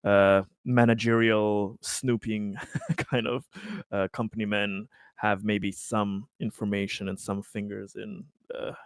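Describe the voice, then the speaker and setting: A man's voice: lowish voice, level tone, takes it slowly, smooth, no echo, clean studio sound. A man playing a tabletop game, casually explaining what his character is doing.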